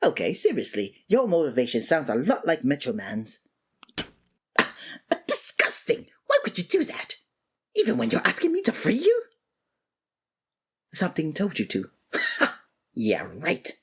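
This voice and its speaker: A woman performing a scripted villain roleplay, breathy and theatrical, exasperated and swinging abruptly between registers. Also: low-pitched, wildly swooping pitch, measured pace, pauses often. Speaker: ASMR voice actor playing a villain.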